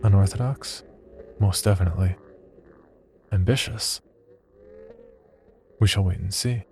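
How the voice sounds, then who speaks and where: Low, detached male researcher played by an actor. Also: a little slow, vocal fry, a little hiss or hum. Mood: quietly ominous. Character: cold, detached male psychology researcher keeping a sinister diary. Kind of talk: horror audio fiction, first-person diary narration. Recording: loud music bed under voice. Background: loud music.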